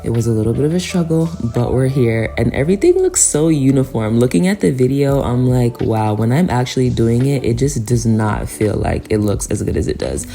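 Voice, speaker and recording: A woman's voice: rumbling low, big pitch swings, brisk, creaky, hardly any pauses, mellow, soft background music. A woman giving a casual, chatty tutorial voice-over, speaking to viewers in an encouraging way. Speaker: hair tutorial vlogger.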